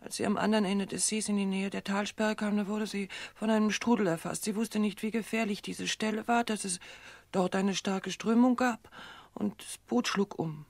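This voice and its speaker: Woman acting distraught guilty daughter in radio drama, breathy husky voice, creaky, halting. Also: medium pitch, steady tone, a little mellow, no room sound, natural voice. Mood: strained, evasive. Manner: fraught, halting, defensive. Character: distraught, guilt-ridden daughter worn down by her dead mother.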